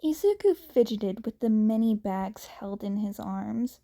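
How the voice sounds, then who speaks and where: A woman reading fan fiction aloud as an expressive narrator, with halting, deliberate pauses. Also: medium pitch. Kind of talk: expressive scripted story narration.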